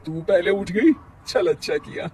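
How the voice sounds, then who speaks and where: A man voicing a weary older mother-in-law, grumbling and sarcastic, with a husky voice, in a family story. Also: high voice, unhurried, dark tone. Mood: wry surprise.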